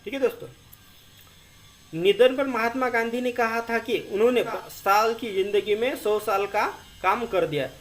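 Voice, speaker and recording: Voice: medium pitch, normal speed. A presenter reads notes aloud as an informal explainer, repeating and rephrasing points and often addressing listeners as friends. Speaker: educational video presenter.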